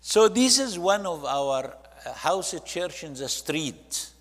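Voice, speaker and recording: A man's voice: a little high, sing-song. Egyptian man presenting in a reverberant hall. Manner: halting, with short phrases and non-native English grammar. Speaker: church ministry leader presenting to a congregation. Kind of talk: presentation talk narrating photo slides.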